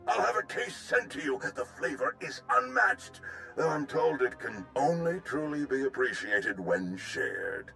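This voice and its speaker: An actor playing a courteous, formal Republic military overseer in a video game, with polished and effusive speech. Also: male voice, medium pitch, very animated, measured pace, gravelly, bright, forceful tone, faint music behind, exaggerated voice. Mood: gracious, enthusiastic. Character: genteel, courteous male Republic military overseer, tea connoisseur. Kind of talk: video game cutscene acting.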